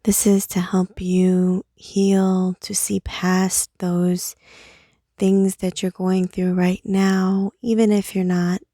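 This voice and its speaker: A young woman whispering a guided meditation outdoors at night into a small microphone, soft and unhurried with fillers. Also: mid-range voice, monotone, no room sound, clean studio sound. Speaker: meditation host. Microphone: small handheld microphone.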